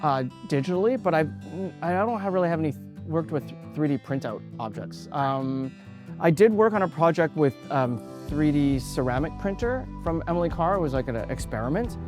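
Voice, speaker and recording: A man's voice: a little high, lively intonation, moderate pace, over music. Hesitant, thoughtful man in his forties. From Canada. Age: 40s. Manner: thoughtful, hesitant, many fillers and restarts. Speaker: artist. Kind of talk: interview about his artwork.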